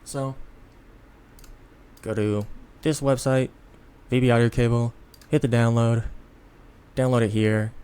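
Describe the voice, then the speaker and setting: A tired man giving a casual, unscripted software tutorial in a rambling, self-deprecating way. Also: medium pitch, steady tone, a little slow, long pauses, clear, resonant tone. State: tired, sleepy. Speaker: tutorial host.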